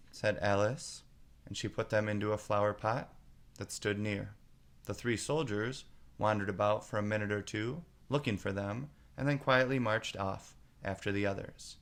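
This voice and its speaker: A man's voice: on the low side, fairly bright tone. A man narrating a children's storybook as a read-aloud.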